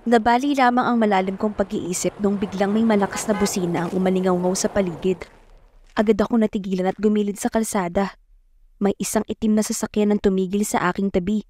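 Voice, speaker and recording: A woman's voice: mid-range voice, steady tone, a little fast, darkish tone, natural voice. A woman playing a shy, smitten young woman narrating an audio drama, with a Filipino accent. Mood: reflective.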